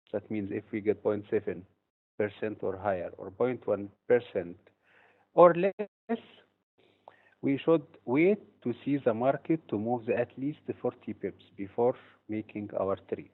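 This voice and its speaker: A man's voice: on the low side, measured pace. Man presenting over tinny phone-like audio. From Egypt. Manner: steady, explanatory delivery with occasional hesitations. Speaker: trading instructor. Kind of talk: webinar presentation.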